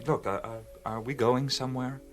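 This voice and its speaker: An actor playing a bewildered, earnest adventurer with an American accent in a radio drama, hesitant and stammering. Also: male voice, mid-range voice, fairly even intonation, moderate pace, fairly bright tone, faint music behind, natural voice.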